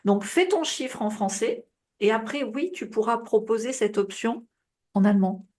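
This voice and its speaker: A woman coaching on a group call in a relaxed, chatty way, heavy with fillers and check-ins, her voice a bit worn with coughing. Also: a little high, normal speed. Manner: casual, advising, many fillers and tu vois. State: coughing, voice tiring. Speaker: business coach.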